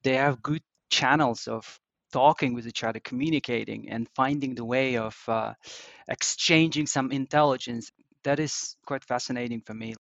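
A man's voice: medium pitch, normal speed, vocal fry, fairly bright tone, dry recording. A man whose first language is Russian, a security researcher answering interview questions hesitantly. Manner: hesitant, with fillers and restarts in a second language. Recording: dry, dull lo-fi sound.

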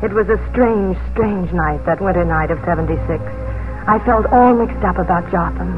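A woman's voice: lowish voice, moderate pace, lightly acted. A woman plays a proud loyalist widow in a vintage radio play, imperious and dramatic. The sound is narrow and phone-like, with music behind her. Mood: conflicted. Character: proud, commanding colonial widow, a staunch loyalist. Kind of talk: 1950s radio drama acting and narration.

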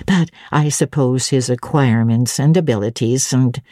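Woman as a low-voiced, kindly elderly housekeeper. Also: normal speed, dark tone, no echo, studio quality. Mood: chatty, admiring. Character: kindly, chatty elderly English housekeeper, genteel and motherly. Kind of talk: dramatic audiobook character reading.

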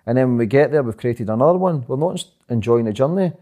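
A young man talking fast and rambling as an interview guest, swearing often and repeating "do you know what I mean". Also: medium pitch, lively intonation, dark tone. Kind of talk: casual interview chat.

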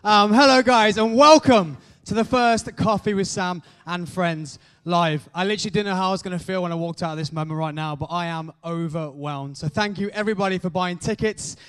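High, excited man on stage. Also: a little fast, hardly any pauses, clean tone, soft background music. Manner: excited, joking with the crowd. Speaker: host of a live show. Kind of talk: stage welcome speech to an audience. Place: stage in a venue.

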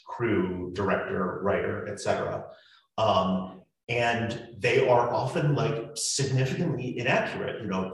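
A man talking through podcast production at a panel, with many fillers and restarts. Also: fairly low pitch. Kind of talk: panel discussion. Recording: roomy echo.

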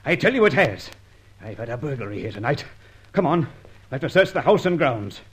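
An actor playing an irritable, blustering villain, master of the house, barking orders in an old radio drama. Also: male voice, medium pitch, big pitch swings, a little fast, natural voice. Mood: furious.

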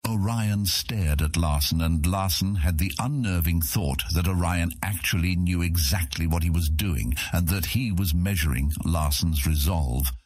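A man's voice: low voice, unhurried, few pauses, darkish tone, husky, dry recording. A man narrating a scripted fantasy audiobook, recorded close to the microphone.

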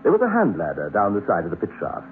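An actor playing a curious, brisk geologist in a 1960s radio drama, with an expressive delivery. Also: male voice, sits low, faint music behind. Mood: matter-of-fact. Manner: animated, expressive. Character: curious, brisk male geologist telling his eerie adventure. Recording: tinny band-limited old radio recording.

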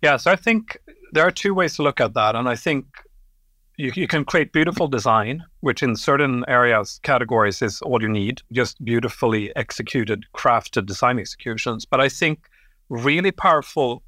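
A man from Sweden talks through his answers in a podcast interview, measured and reflective, now and then pausing on a filler. His tone is bright. The sound is close and dry. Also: medium pitch, dry recording. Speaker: guest, creative executive.

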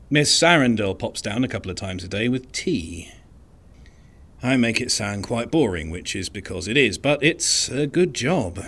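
A man playing an unassuming, wry and resigned archivist in an audio drama monologue, speaking in a dry, understated, conversational way with hesitant pauses. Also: medium pitch, fairly bright tone. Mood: wryly resigned. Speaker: narrator of an audio fiction podcast.

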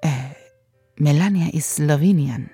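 A woman playing a dry, dutiful housekeeper in a comic audio soap, formal and deadpan. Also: sits low, unhurried, husky, soft background music, full character voice. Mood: dry, correcting.

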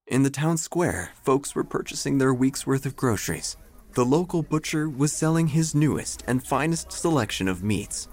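An actor playing a melodramatic, self-important obituary writer who narrates an audio drama with theatrical pauses. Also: male voice, medium pitch. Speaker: narrator and protagonist. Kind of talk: first-person audio drama narration, gothic parody.

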